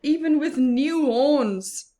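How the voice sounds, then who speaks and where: A woman reading a picture book aloud expressively for young children, pausing for asides to her listeners. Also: high-pitched, expressive, mellow. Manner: slow, deliberate storyteller addressing small children. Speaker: children's story reader.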